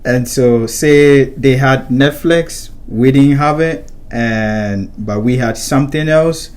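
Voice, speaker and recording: A young man from Ghana co-hosting a casual chat about saving money, explaining calmly and easily. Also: mid-range voice, slow pace. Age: young adult. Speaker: co-host of a personal finance channel.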